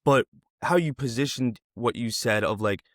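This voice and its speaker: A man's voice: medium pitch, normal speed, dry recording, clean studio sound. A man hosting a casual interview, earnest and rambling, often repeating his phrases as he builds a question. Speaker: interviewer, host.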